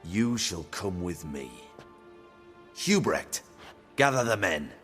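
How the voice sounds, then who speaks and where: A man's voice: low voice, sing-song, slow, fairly bright tone, faint music behind, exaggerated voice. An actor playing a cruel, haughty fantasy king in a video game, commanding and formal. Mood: cold, demanding. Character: cold, imperious, cruel king of a fantasy realm. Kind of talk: dramatic video game voice acting.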